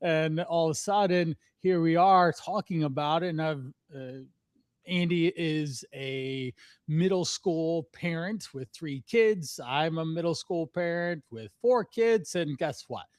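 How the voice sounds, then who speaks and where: A man's voice: a little high, measured pace, clear, resonant tone, no room sound, clean studio sound. A man in his forties hosting a podcast, chatty and upbeat, laughing easily as he talks. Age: 40s. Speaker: podcast host, fitness coach. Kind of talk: casual podcast conversation.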